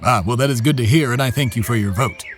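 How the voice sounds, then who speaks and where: A man's voice: medium pitch, fast talker, faint music behind, close to an everyday voice. A man playing a pompous, shameless, self-important podcast host in a comedic audio drama, with grandiose, formal diction. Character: pompous, shameless, self-important male talk-show adventurer, embodiment of America.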